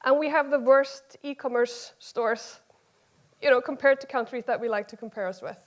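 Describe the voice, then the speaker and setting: High-voiced Icelandic woman giving a talk. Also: moderate pace. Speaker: conference speaker. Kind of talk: informal conference presentation, personal storytelling.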